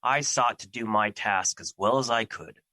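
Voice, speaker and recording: A man's voice: lowish voice, normal speed, pushed and bright, dry recording. A man playing Fear as a personified emotion in an audio drama, troubled, defensive and duty-bound, with earnest, formal phrasing.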